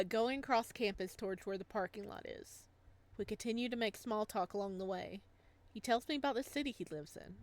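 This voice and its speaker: A woman with a medium-pitched voice plays a story game for viewers. She reads the text aloud expressively and breaks off to react with gushing, gasping excitement. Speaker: gamer reading a visual novel aloud. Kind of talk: let's play reading with excited reactions.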